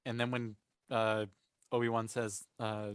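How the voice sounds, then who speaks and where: A man's voice: on the low side, level tone, measured pace, clean tone, no echo, studio quality. A man speaking earnestly and emphatically as a panelist in a casual fan discussion. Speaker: podcast panelist.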